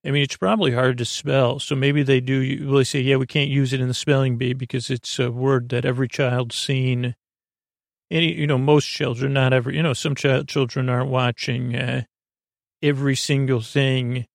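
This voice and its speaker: A man hosting a bedtime podcast in a meandering, halting monologue, with a gravelly voice and a lulling, soothing manner. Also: medium pitch, flat delivery, no room sound, studio quality. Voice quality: gravelly, creaky. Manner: rambling, halting, self-interrupting. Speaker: podcast host.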